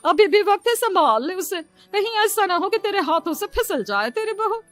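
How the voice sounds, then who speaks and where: A gossiping middle-aged neighbour from a cartoon drama, acted by a woman. She sounds very high-pitched, judgmental and meddling, forever warning and criticising. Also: expressive, normal speed, faint music behind. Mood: spiteful warning.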